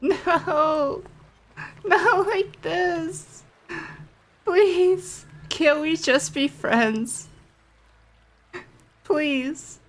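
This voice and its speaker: A woman's voice: very high voice, drawn-out words, long pauses. A woman giving casual, playful commentary as she plays through a video game. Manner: playful, jokey. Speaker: game streamer playing through a story quest.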